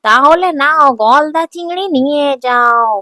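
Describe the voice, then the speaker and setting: A woman's voice: high voice, measured pace, pushed and bright, no room sound. A woman voicing a cheeky village fish seller in a cartoon folk tale, teasing, salesy and full of exclamations. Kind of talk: Bengali cartoon fairy-tale dialogue.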